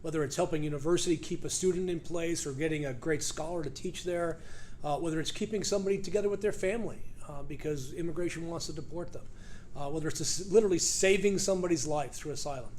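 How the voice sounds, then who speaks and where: A middle-aged man from New York with a New York accent, talking as a lawyer guest in a casual interview in a conference room, quick, with lots of "uh" fillers. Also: fairly high pitch, darkish tone, slight background noise.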